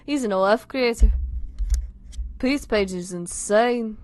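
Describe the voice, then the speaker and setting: A woman's voice: fairly high pitch, takes it slowly, a little mellow. Woman acting young Scouse lad, shaky accent, blunt, sweary, mock-dramatic, story banter. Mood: blunt gossip. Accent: wobbly put-on Liverpool. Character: crude, exasperated young British men in banter.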